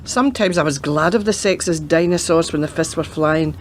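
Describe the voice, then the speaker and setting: A woman's voice: deep voice, a little fast, slight background noise, lightly acted. A woman playing a pragmatic retired policewoman and grandmother in a radio play, giving matter-of-fact, emphatic advice. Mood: firm, reminiscing.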